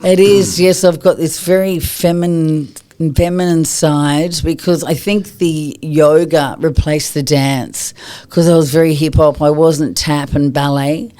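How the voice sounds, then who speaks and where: Woman from Australia, husky smooth voice, lively studio guest. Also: deep voice, measured pace. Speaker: guest, yoga teacher. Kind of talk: casual podcast interview.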